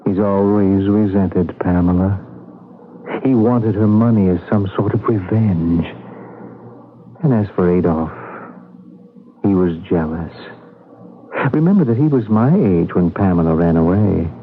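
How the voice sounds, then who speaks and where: An actor playing the gentle, resigned ghost of a young man in an old-time radio drama. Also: fairly low pitch, lively intonation, measured pace, pauses often, a little hiss or hum. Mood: calm explaining. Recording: tinny vintage radio broadcast recording.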